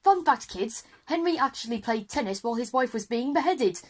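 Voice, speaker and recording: A woman's voice: on the high side, expressive, fairly quick. A woman voicing an eager, unflappable teacher with an explanatory manner, leading a time-travel lesson in a children's audio drama. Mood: informative.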